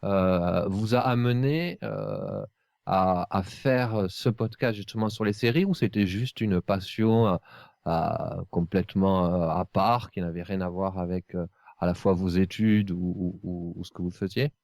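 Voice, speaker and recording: A man with a deep voice hosts a casual interview. He puts his questions haltingly and in a roundabout way, recorded close and dry. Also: expressive, a little mellow, no echo. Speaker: podcast interviewer, host.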